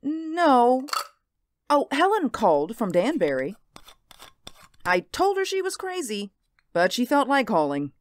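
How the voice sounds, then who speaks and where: A woman's voice: high voice, very animated, slow, long pauses, full character voice. An actress playing an anxious housewife and mother in a radio drama. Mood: pleasant. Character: anxious housewife and mother hiding her unease.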